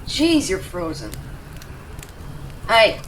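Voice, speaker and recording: A young woman voice actor playing a gruff but devoted tsundere rescuer in an intimate, emotional audio roleplay. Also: medium pitch, huge pitch swings, very slow, exaggerated voice. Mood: worried, firm. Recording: distant mic, clear background noise ambience.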